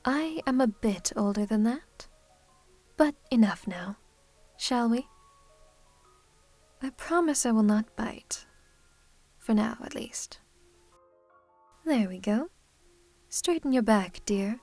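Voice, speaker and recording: A woman playing a gracious, commanding, centuries-old vampire hostess in an ASMR roleplay, speaking formally with gentle teasing. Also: a little high, animated, slow pace, pauses often, dry recording, soft background music, heavily acted. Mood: reassuring, poised.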